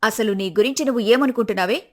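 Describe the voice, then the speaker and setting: A woman's voice: fairly high pitch, quick pace. A woman voicing a fiery grown daughter. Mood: furious. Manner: sharp, scolding. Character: fiery grown daughter defending her mother.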